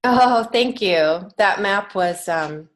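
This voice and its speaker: An American woman talking casually as an interview guest, earnest and reflective, often halting and restarting her thoughts. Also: mid-range voice, lively intonation. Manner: earnest, reflective, halting with many false starts. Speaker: podcast guest, children's author and creative writing teacher.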